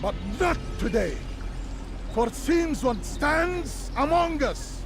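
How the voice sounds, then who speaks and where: A man's voice: very high voice, very animated, slow, creaky, music in the background, heavily acted. A man playing a righteous, zealous preacher who denounces an enemy to a crowd in a video game. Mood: righteous fury. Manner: declamatory, righteous. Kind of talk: dramatic game cutscene oratory.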